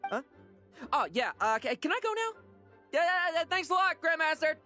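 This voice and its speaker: A woman's voice: very high-pitched, very animated, normal speed, sharp tone, big-room sound, faint music behind, exaggerated voice. A woman playing a cheeky, evasive young knight in a game, with a breezy, glib manner. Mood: flippant. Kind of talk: game voice acting.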